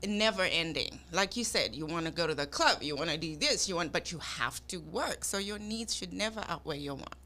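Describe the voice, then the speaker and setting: A woman's voice: fairly low pitch, lively intonation, fairly quick, few pauses, sharp tone. A woman in a casual podcast debate, explaining her points emphatically and insistently. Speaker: podcast panelist.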